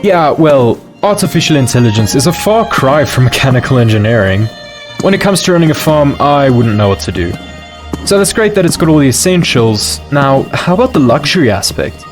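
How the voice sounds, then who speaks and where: A man's voice: mid-range voice, big pitch swings, brisk, over music, close to an everyday voice. A man playing a wealthy, entitled tech mogul with a casual, probing way of talking in an audio drama. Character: wealthy, demanding male tech mogul shopping for a bunker.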